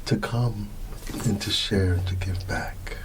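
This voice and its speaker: A drowsy man reading a children's story in an ASMR style, halting and drawn out as if fighting off yawns. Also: fairly low pitch, low-quality recording. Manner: drowsy, yawning, drawn-out halting delivery with hums. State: sleepy. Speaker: bedtime storyteller in an ASMR roleplay.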